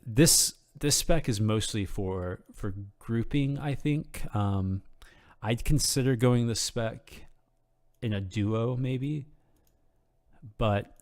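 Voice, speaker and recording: A man with a lowish, slightly scratchy voice that sounds like it is wearing out. He explains a game in a loose, unscripted ramble, stopping and restarting his sentences often. His delivery stays easygoing and conversational. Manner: rambling, hesitant, full of ums and restarts; relaxed, casual explaining. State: slightly hoarse, losing his voice. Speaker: game guide video creator. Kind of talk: unscripted game tutorial commentary.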